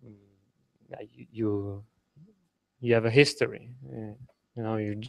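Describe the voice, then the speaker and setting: A man's voice: on the low side, steady tone, gravelly, no echo, poor audio. Hesitant young man telling a family story. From Ukraine. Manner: halting, many fillers and restarts. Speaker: workshop participant.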